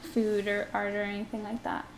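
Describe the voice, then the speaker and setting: A woman's voice: medium pitch, monotone, moderate pace, roomy sound, slight background noise. A young woman asking interview questions casually and encouraging answers with mm-hmms.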